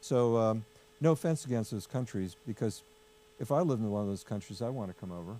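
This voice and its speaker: A man's voice: on the low side, animated, brisk, warm tone, slight background noise. A man hosting a casual political discussion, chatty and full of fillers, steering with questions. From United States. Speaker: host.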